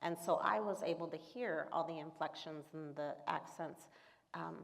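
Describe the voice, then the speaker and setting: A woman interviewing an author onstage, asking measured, thoughtful questions. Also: low voice, gravelly. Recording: long reverb in a hall with an audience.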